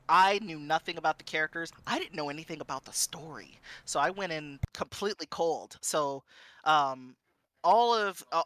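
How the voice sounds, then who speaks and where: A woman's voice: low voice, fairly bright tone, no room sound. A woman from Southern California chatting casually as a streamer on a panel, in an informal, rambling way peppered with "you know". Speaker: visual novel streamer, panelist.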